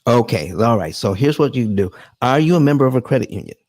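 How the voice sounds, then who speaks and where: A man's voice: mid-range voice, lively intonation, fast talker, darkish tone. A man hosting a live finance show who talks emphatically, repeats himself and calls the audience folks. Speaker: host.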